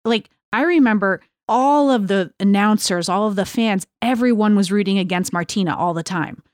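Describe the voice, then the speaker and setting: A woman's voice: medium pitch, normal speed, darkish tone, no echo. A middle-aged woman talks as a podcast host in a dry, unechoed recording. Her delivery is conversational and informal, explaining points as she goes.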